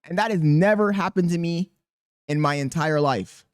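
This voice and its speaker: A man with a squeaky voice talking casually and profanely to camera as a content creator, rambling and animated. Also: moderate pace, clear, resonant tone, no echo, clean studio sound. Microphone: podcast dynamic mic.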